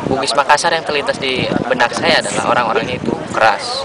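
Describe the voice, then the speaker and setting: A man's voice: medium pitch, fast. A young man, a student interviewee, speaking earnestly and searching for words. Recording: loud crowd and street noise.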